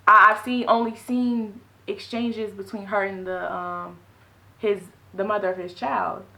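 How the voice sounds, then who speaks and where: Bright-voiced woman chatting animatedly. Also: medium pitch, vocal fry, low-quality recording. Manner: animated, quick asides and self-corrections. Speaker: podcast co-host. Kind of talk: casual chat.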